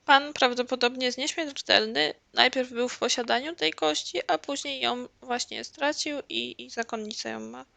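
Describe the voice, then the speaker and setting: A woman gamer with a high, bright voice and a good deal of vocal fry. She comments casually on her gameplay, explaining the story close to a dry mic. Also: brisk, few pauses, no echo. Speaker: gameplay streamer.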